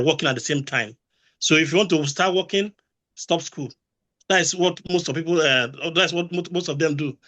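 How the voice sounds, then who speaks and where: A man's voice: fairly high pitch, fairly bright tone. A man with a Nigerian accent speaking as a mentor over a video call, hesitant with many fillers and repeated words. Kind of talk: casual panel talk.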